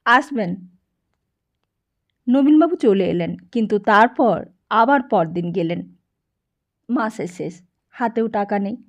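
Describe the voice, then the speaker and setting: A woman reading a short story aloud in an expressive, scripted narration style. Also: medium pitch, measured pace, pauses often. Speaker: audiobook narrator. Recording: dull lo-fi narration recording.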